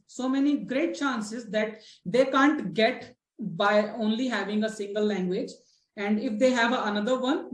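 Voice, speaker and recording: A woman with an Indian English accent hosts a casual discussion, her voice sitting a little high. She talks chattily, with lots of fillers and restarts, and keeps checking in with her guests. The room has a clear, echoey ring. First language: Hindi. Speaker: host of a spoken English discussion.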